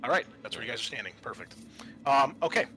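A man working as game master, improvising narration for a tabletop roleplaying game in a halting, thinking-aloud way. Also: mid-range voice, expressive, sharp tone, a little hiss or hum, rough recording.

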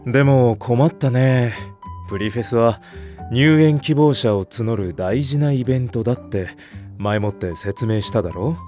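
An actor playing a calm, concerned male nursery teacher in an audio drama, gently chiding. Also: medium pitch, unhurried, soft background music, natural voice. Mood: troubled. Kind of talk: Japanese audio drama acting.

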